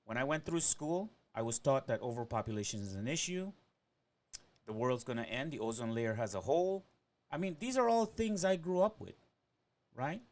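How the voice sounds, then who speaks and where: A man's voice: mid-range voice, animated, moderate pace, darkish tone. A man in his forties, from Trinidad and Tobago and Venezuela, rambling through a personal monologue as a podcaster and often ending thoughts with "right?".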